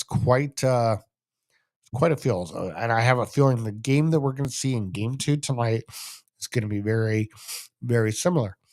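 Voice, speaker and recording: A Canadian man hosting a sports show, giving casual, emphatic solo hockey commentary and repeating phrases for stress. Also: medium pitch.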